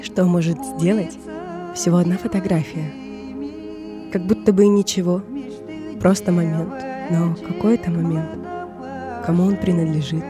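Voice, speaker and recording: Reflective woman narrating over clear music. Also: lowish voice, fairly even intonation, normal speed, long pauses. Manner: reflective, contemplative. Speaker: podcast narrator. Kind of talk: scripted personal narration, reflective audio essay.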